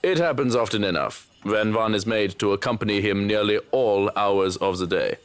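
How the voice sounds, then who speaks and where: A man playing a dryly witty, sardonic employee of a rich man in a video game, speaking in formal, measured wording. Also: lowish voice, clean tone, close to an everyday voice. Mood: weary.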